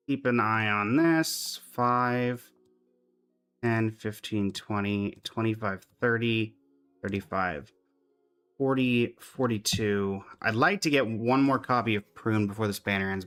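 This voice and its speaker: A man's voice: lowish voice, measured pace, fairly bright tone, soft background music. A man giving casual, chatty commentary on a game livestream, talking to his chat and humming little filler syllables.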